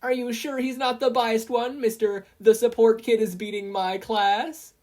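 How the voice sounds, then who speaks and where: Stadium announcer, male, played by an audiobook reader: high-pitched, loud, excitable race commentary. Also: unhurried, natural voice. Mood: excited. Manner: yelling play-by-play.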